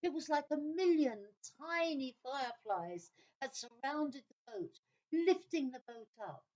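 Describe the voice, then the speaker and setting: A woman narrating a scripted children's story in an expressive, dramatic way. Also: high-pitched, measured pace, muffled recording.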